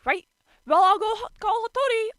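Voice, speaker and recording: A woman's voice: extremely high pitch, expressive, normal speed, fairly bright tone, no room sound, clean studio sound, voice pushed up. A woman voicing a playful, breezy adult man with a teasing, singsong manner, in an amateur story reading. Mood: cheerful.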